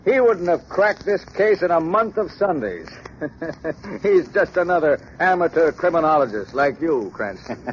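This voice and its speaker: An actor playing a blustery, self-satisfied police commissioner in an old radio crime drama. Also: male voice, on the high side, sing-song, measured pace. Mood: smug, scolding. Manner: pompous, dismissive. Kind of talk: 1930s-40s radio crime drama acting. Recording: dull, faintly noisy old radio recording.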